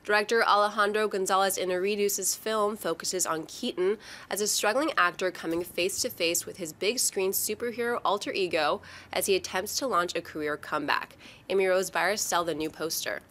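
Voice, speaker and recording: A woman's voice: mid-range voice, normal speed, fairly bright tone. A woman reading scripted news items and questions to a panel. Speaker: news reader for a movie show.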